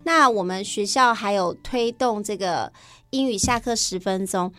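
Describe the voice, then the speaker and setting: A woman's voice: mid-range voice, moderate pace, fairly bright tone, dry recording. A woman with a Taiwanese Mandarin accent, a teacher chatting enthusiastically as an interview guest. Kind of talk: casual interview chat.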